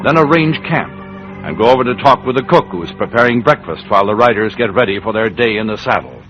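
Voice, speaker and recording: A man's voice: on the low side, expressive, fast. A man narrating dramatically as the announcer of an old-time radio Western. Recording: muffled old radio broadcast. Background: organ music.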